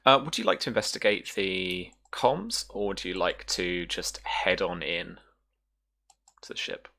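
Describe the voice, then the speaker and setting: A game master improvising descriptive narration for a tabletop role-playing game in a halting way. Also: deep voice, expressive, gravelly, bright, forceful tone.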